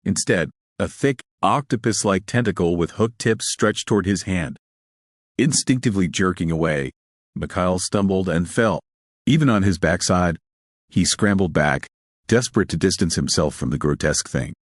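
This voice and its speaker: A man's voice: low voice, moderate pace, no room sound, clean studio sound. A man reading scripted fiction as a story narrator.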